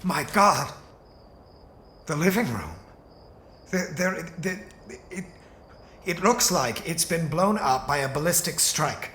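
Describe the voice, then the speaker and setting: An actor playing an earnest, bewildered scientist in an audio drama, speaking haltingly and repeating himself. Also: male voice, fairly high pitch, expressive, bright, forceful tone, slight background noise, heavily acted. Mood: shocked. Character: earnest, bewildered male scientist stranded in a parallel universe.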